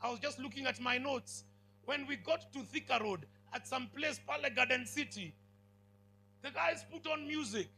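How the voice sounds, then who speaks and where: Kenyan male preacher, high-pitched and bright, commanding, emphatic call-and-response delivery. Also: moderate pace, soft background music, poor audio. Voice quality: big commanding voice. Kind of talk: sermon to students.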